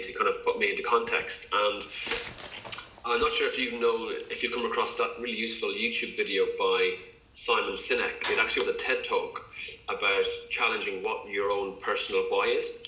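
A man's voice: lowish voice, pushed and bright, slight background noise. A male doctor from England, a podcast guest, talking in a hesitant way with many fillers and restarts. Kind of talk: casual interview talk. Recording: echoey, dull lo-fi sound.

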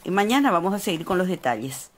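An actress playing an anxious wife in a radio drama, speaking expressively with a Paraguayan accent. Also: female voice, fairly low pitch, sing-song, quick pace. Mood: fussy, chatty. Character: anxious wife settling into a haunted old house. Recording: music behind.

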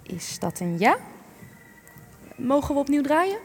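A woman's voice: high voice, very animated, measured pace, darkish tone, heavily acted. A young actress playing a courteous, formal female interviewer in a radio play. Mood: playful, polite. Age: young adult. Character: polite young female interviewer, embarrassed by her colleague. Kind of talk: Dutch-language radio play acting. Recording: long echoing hall, music underneath.

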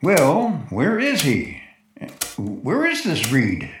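An actor playing a nervous, excitable house owner with a stammering, agitated manner in a 1940s radio drama. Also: male voice, on the high side, very slow, noisy background, full character voice. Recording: roomy 1940s radio recording, music behind.

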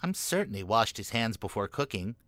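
Man as an earnest, bright, swooping schoolboy. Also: on the low side, very animated, fairly quick, dry recording, studio quality. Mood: earnest, genial. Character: earnest, naive, jittery schoolboy dinner host. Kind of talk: comic radio play acting.

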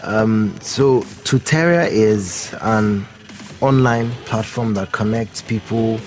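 A young man from Nigeria with a deep voice, a podcast guest who speaks in a thoughtful, halting way. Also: faint music behind. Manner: thoughtful, hesitant, with fillers and restarts. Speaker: guest, company founder. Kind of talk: podcast interview.

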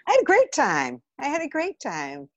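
A woman's voice: sits high, huge pitch swings, normal speed, no room sound, lo-fi sound. A woman from the Los Angeles area chatting casually as a podcast guest. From Los Angeles area, United States. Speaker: guest, theatre writer and director. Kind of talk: casual chat.

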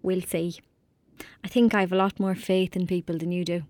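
A woman's voice: on the low side, level tone, moderate pace, darkish tone, no room sound, lightly acted. Woman acting as lonely irish country girl in a radio play, blunt and dreamy, very dry close sound. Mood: earnest. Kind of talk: audio drama acting.

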